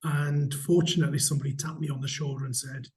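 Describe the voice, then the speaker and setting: A middle-aged man from Newcastle with a slight Geordie accent, answering interview questions in a measured, candid way with frequent restarts. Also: mid-range voice, level tone, darkish tone. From Newcastle, England. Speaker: hotel company chief executive, interviewee.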